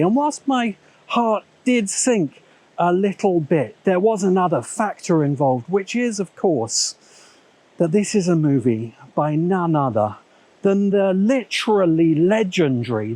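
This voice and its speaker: A man hosting a video essay channel, talking in a measured, musing way with self-correcting asides, recorded on a small camera's built-in mic. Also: on the high side, a little mellow. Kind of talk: thoughtful spoken commentary. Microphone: small handheld camera's built-in mic.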